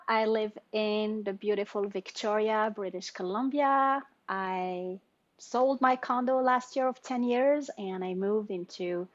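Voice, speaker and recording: Woman, 40s, from Morocco, coach type; effusive and animated, dry muffled call audio. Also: medium pitch, no echo. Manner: animated and effusive, laughing between phrases. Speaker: business owner and women's leadership coach. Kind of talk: personal introduction in an online circle. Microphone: video call.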